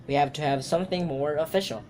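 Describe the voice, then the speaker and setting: A woman's voice: low-pitched, fast, a little mellow, natural voice. A woman playing an officious chief telephone operator in an amateur radio drama, formal and procedural.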